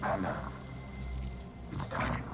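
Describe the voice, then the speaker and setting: An actor playing a solemn artificial war-machine mind in a video game, speaking gravely and deliberately. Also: male voice, lowish voice. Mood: grave, fateful. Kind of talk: dramatic video game dialogue. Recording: very echoey, under loud cinematic music.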